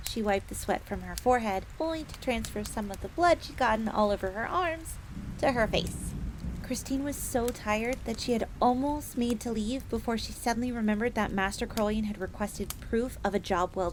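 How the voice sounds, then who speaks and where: A woman's voice: fairly high pitch, lightly acted. A woman voicing a polite, eager fox maid assassin who tells her tale in the third person in an audio roleplay. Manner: refers to herself in third person, genteel. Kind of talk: in-character storytelling, audio roleplay. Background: crackling fire ambience.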